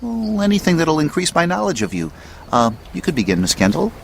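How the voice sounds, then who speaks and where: A man's voice: on the high side, huge pitch swings, fairly quick, gravelly, a little hiss or hum, full character voice. An actor in a family radio drama playing a bookish, polite amnesiac man who talks in a formal, wordy, hesitant and precise way. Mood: curious.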